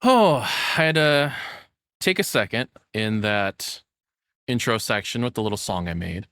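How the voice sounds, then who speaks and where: Nervous man streamer with lively, swinging pitch. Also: unhurried, smooth, dry recording, clean studio sound. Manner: rambling, self-deprecating. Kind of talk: casual livestream chat and reaction commentary. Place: hot home room.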